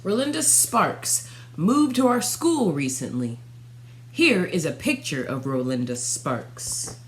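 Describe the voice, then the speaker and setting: A young woman reading a children's storybook aloud, narrating expressively in the first person with dramatic pauses. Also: deep voice, very animated, a little hiss or hum. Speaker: storybook reader. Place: small furnished room at home.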